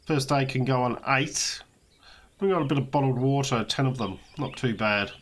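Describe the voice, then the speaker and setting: An Australian man, slightly hoarse, giving a relaxed walkthrough of a video game he is playing, with frequent fillers. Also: medium pitch, moderate pace. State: slightly hoarse, losing his voice. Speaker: let's play host. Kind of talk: casual gameplay walkthrough commentary.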